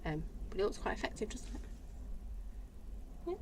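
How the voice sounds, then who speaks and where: Chatty woman with a croaky voice. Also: normal speed, noisy background. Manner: chatty, enthusiastic, addresses viewers by name. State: slightly croaky voice. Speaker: craft stamping demo host. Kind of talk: live-stream craft show-and-tell.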